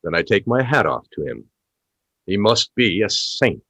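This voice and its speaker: A man's voice: lowish voice, sing-song, moderate pace, bright, forceful tone, no echo, full character voice. A man playing a cheeky, incredulous radio phone-in host in a comedy play, theatrical and wry.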